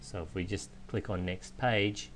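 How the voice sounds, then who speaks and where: A man giving a methodical, explanatory software walkthrough in the manner of a trainer. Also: sits low, unhurried. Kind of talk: instructional screen walkthrough narration. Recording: clear steady background noise.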